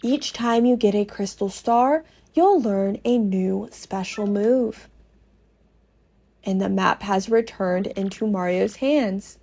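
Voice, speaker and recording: A woman hosting a game podcast, narrating play dramatically with drawn-out, descriptive phrasing and a sense of wonder. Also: a little high, a little mellow. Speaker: podcast host playing a video game.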